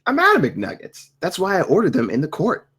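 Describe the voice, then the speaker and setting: A brash, unruly defendant in a comedy table read, acted out by a reader, argumentative and dim. The pitch leaps around a lot. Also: huge pitch swings, brisk, fairly bright tone, husky, heavily acted.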